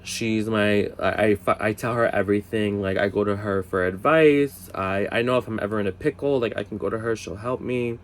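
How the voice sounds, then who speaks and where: A man in his twenties telling a casual story, chatty, swearing, with lots of "like" fillers and high-voiced impressions of other people. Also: fairly even intonation, a little fast, few pauses. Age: 20s. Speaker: storyteller vlogger.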